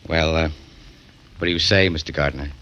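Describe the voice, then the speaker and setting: A man's voice: extremely low pitch, fairly even intonation, normal speed, bright, forceful tone. An actor playing an oily, ingratiating salesman for a murder firm in 1940s radio crime drama style. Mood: smug, sly. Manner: ingratiating, hesitant polite sales patter.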